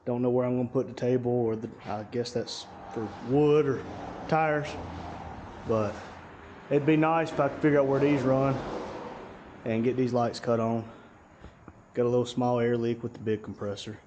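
A man's voice: medium pitch, fairly even intonation, normal speed, highs cut off, background noise. A man, giving an easygoing walkthrough of his garage for a vlog. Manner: easygoing, drawling, folksy. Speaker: channel host. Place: large garage service bay. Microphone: action camera.

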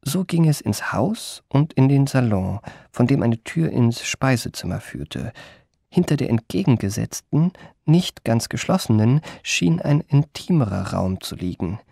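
An actor playing a worldly, amorous memoirist narrating a radio drama, husky and gravelly, with ornate, savouring delivery. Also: male voice, mid-range voice, animated, a little slow, mellow, no room sound, studio quality, full character voice. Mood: measured recounting. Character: worldly, amorous male memoirist recalling his adventures.

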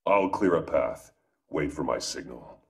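An actor playing a grim masked vigilante in a video game, speaking in a terse, stern, gravelly growl. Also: male voice, a bass voice, expressive, unhurried, recorded from a distance, heavily acted. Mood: commanding. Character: grim, commanding adult male masked vigilante hero. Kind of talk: video game hero acting.

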